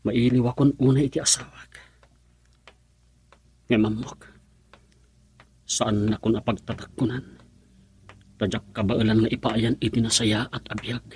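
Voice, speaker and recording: A man playing a weary, reproachful elderly father in a Filipino radio drama, with a raspy voice and an emotional delivery. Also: mid-range voice, fairly even intonation, unhurried, long pauses, darkish tone. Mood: sorrowful, brooding. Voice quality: husky, raspy.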